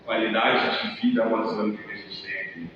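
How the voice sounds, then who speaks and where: A man from São Paulo speaks in a fairly low voice. He talks earnestly and restarts his phrases often. He sits well back from the mic in a very reverberant room with a faint hiss behind him. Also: steady tone, a little fast, distant mic, dull audio. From São Paulo, Brazil. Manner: earnest, with frequent "né" tags and restarts. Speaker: activist interviewee. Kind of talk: reflective personal interview.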